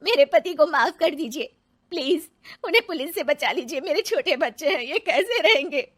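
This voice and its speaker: A desperate young mother and wife from a moral story, acted by a woman narrator. She implores in a raised, sharp, bright voice. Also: sits high, lively intonation, normal speed, pitched above the performer's own voice. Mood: desperate pleading.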